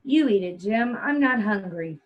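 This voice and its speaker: A woman's voice: mid-range voice, animated, unhurried, natural voice. A woman playing an anxious, devoted young wife in a stage play table read, with a genteel, earnest, placating manner. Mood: weary, fond. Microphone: video call. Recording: dull video-call audio.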